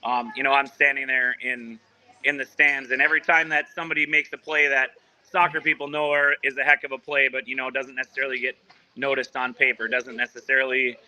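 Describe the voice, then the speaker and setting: Bright-voiced man rambling about his club. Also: mid-range voice, flat delivery, brisk, low-quality recording. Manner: rambling, full of restarts and fillers. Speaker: soccer club official. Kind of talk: casual remote interview. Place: at a team training ground.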